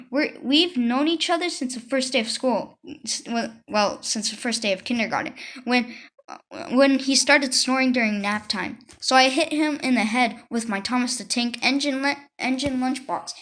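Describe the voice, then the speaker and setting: A woman reading a children's book aloud in an expressive, conversational storytelling style with asides. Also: sits high. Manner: conversational first-person delivery with asides. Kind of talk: expressive read-aloud narration.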